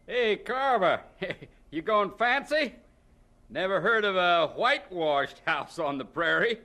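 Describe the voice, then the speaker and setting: A man's voice: high-pitched, lively intonation, takes it slowly, bright, forceful tone, exaggerated voice. An actor playing a mocking, teasing rural neighbor man on the prairie in a radio drama.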